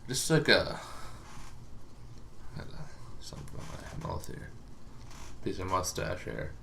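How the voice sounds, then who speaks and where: A man giving a casual, unscripted explainer as a host, halting and rambling with long pauses, over some background noise. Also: sits low, wildly swooping pitch, vocal fry. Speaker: host of a tabletop gaming lore series.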